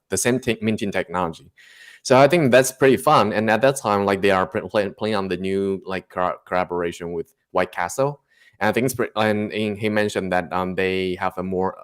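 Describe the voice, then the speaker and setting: A man from Taiwan with a Taiwanese accent, speaking haltingly and searching for words as a guest artist in a casual interview. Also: low-pitched.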